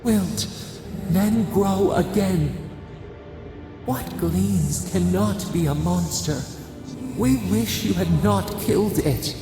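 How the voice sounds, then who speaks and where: A man voicing an ancient, mournful otherworldly spirit in a video game, solemn, cryptic and creaky. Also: high voice, slow pace, full character voice. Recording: long echoing reverb, music behind.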